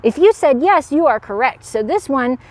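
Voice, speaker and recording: Higher-voiced woman teaching kids, animated. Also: moderate pace, no room sound. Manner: animated, addresses young viewers with questions. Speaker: park educator presenting to children. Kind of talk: animated educational read-aloud and animal demonstration.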